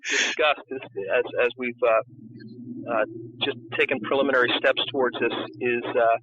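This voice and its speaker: A man's voice: mid-range voice, level tone, moderate pace, background noise. A man speaking as an interview guest, a book publisher, answering casually over a tinny call line.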